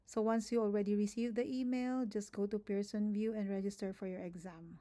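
A woman's voice: medium pitch, steady tone, a little fast, mellow. A young woman with a Filipino accent, whose first language is Tagalog, explaining an application step by step in a screen-recorded tutorial, often restarting words and checking in with "okay?". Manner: repeats herself, frequent restarts and "okay?" checks. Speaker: nurse giving an application walkthrough.

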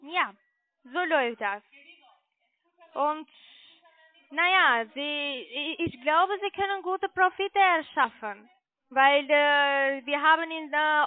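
High-pitched woman with non-native German on phone. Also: no echo. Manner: halting, searching for words. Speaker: call centre sales agent pitching trading. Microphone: telephone. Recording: muffled narrowband phone line.

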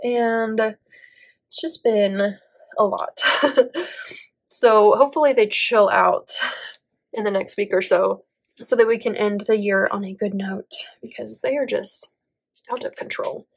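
A woman's voice: mid-range voice, steady tone, slow pace, long pauses. A woman in her thirties, stuffy from allergies, chatting casually as she thinks aloud, peppered with "like" and little laughs. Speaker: planner vlogger and teacher. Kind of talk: casual plan-with-me voiceover. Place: indoor home table, overhead camera on planner.

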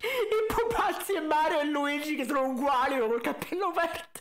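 Male reaction host, twenties, high-pitched, animated and mocking, close-miked. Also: normal speed. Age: 20s. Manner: sarcastic, animated. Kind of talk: casual mocking video commentary. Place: indoor room at a desk. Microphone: close desk condenser mic with pop filter.

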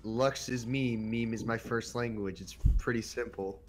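A man's voice: mid-range voice, fairly even intonation, unhurried. A man joking sarcastically and swearing in a casual gaming voice chat. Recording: faint game music behind.

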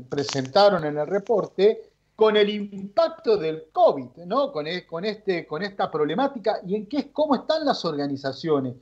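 A man's voice: sits high, darkish tone, muffled recording. A man hosting a radio interview, asking long winding questions with many "eh" fillers. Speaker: radio host. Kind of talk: radio interview, introducing guest.

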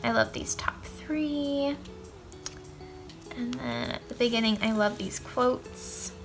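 A woman's voice: a little high, takes it slowly, vocal fry, music in the background. A young woman in her twenties showing off craft supplies in an upbeat, gushing way, sitting on the floor of a small indoor room. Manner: upbeat, gushing, lots of "um" and "I love". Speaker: craft vlogger. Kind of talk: casual haul show-and-tell.

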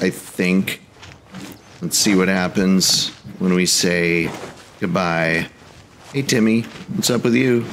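A man's voice: lowish voice, slight background noise. A man hosting a gaming video, giving casual play-by-play in short, mild exclamations. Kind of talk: casual let's play commentary. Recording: game music behind the voice. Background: video game music.

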